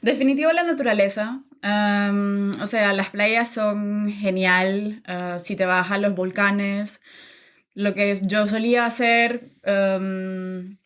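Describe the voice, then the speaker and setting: A woman's voice: medium pitch, slow, clean tone. A young woman from Nicaragua, a podcast guest chatting casually about her life, with many filler sounds. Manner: relaxed, many "am" and "em" fillers, laughs easily. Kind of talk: casual interview chat.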